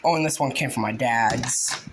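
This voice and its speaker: A man giving a casual, halting show-and-tell, informal and often trailing off. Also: mid-range voice. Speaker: hobbyist video creator. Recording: noisy home video with clicks.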